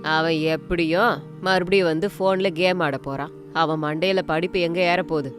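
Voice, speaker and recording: A woman's voice: sits low, steady tone, moderate pace, music in the background, pitched below the performer's own voice, close to an everyday voice. A woman voicing a harsh older mother-in-law in a family story, scolding and sarcastic.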